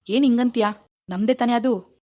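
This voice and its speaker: A woman's voice: a little high, darkish tone, voice pushed down, close to an everyday voice. A woman voicing a put-upon young husband in a domestic drama, pleading and complaining. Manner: pleading, complaining, quick to give in. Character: put-upon young husband running a small tea stall. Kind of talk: amateur domestic drama acting.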